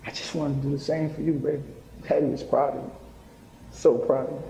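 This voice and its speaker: A proud, loving dad in a stage play, acted by a man. His voice is warm and rounded in tone. It carries with plenty of echo in a big hall. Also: fairly high pitch, little pitch movement, a little fast, vocal fry, slight background noise. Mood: tender, proud. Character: proud, doting father of a graduate. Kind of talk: live stage play acting. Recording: echoey hall, stage play picked up live.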